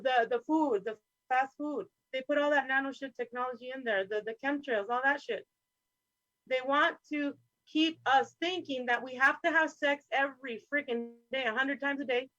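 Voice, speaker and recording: A woman with a high voice delivers a fired-up, swearing, rambling rant as a roundtable guest. Her audio comes through a dull, lo-fi remote call with a dry, close sound. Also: sharp tone, no room sound. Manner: impassioned, profane, rambling rant. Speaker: podcast guest. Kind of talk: roundtable discussion.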